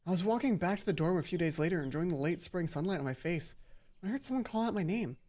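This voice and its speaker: A woman's voice: lowish voice, lively intonation, fast, dark tone. A woman hosting a let's play, mixing casual, sweary commentary with reading a visual novel's narration aloud.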